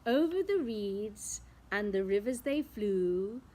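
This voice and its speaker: A woman storyteller reading a picture book aloud in an expressive, theatrical way, drawing out words. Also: medium pitch, slight background noise. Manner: theatrical, with drawn-out words and sound effects. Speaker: children's storyteller.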